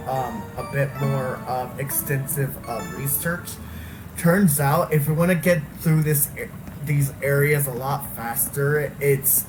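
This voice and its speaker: A game commentator improvising casual dialogue haltingly, often repeating phrases while playing. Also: medium pitch, low-quality recording. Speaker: gaming video commentator. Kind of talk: casual let's play commentary mixed with improvised role-play dialogue. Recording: loud game music behind the voice. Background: loud video game music.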